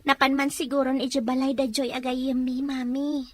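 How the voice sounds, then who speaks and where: A woman's voice: high voice, flat delivery, normal speed. A woman playing a defiant, plaintive young woman in a domestic drama. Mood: apologetic. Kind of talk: Ilocano drama acting.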